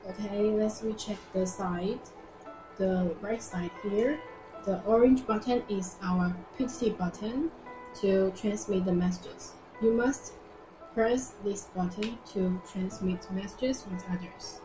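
Young woman demonstrating products, roomy echo. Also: mid-range voice. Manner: simple halting non-native English, repeats phrases. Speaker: product presenter. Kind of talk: informal live product demonstration. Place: indoor product showroom. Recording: roomy echo with music behind. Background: music.

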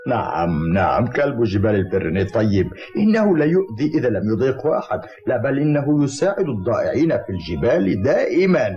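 Man playing a kindly old grandfather, mellow and lively. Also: mid-range voice, fast talker, hardly any pauses, lightly acted. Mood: reassuring, cautioning. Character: kindly old bearded mountain grandfather, protective. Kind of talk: Arabic cartoon dubbing. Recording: muffled old cartoon audio, music behind.

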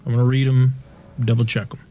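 A man in his thirties chatting casually while playing a video game, swearing freely and often restarting his sentences in a rambling, halting way. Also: medium pitch, level tone, mellow, slight background noise. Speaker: video game player and co-host. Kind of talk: casual gaming chat.

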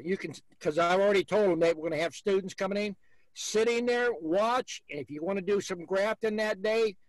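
A man's voice: sits high, no echo, rough recording. A man, hosting a beekeeping video call and giving blunt, folksy advice. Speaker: beekeeper host.

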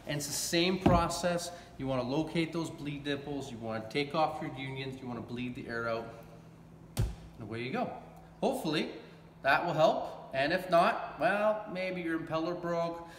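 Echoey, higher-pitched man giving a how-to. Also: moderate pace. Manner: folksy, colloquial, checking in with "okay?". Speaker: hot tub repair technician presenting a how-to. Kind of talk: casual instructional demonstration. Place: large indoor warehouse with brick walls. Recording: echoey warehouse with clear background noise.

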